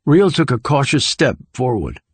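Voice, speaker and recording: A man's voice: medium pitch, sing-song, moderate pace, breathy edge, no echo. A man reading scripted thriller narration as an audiobook narrator.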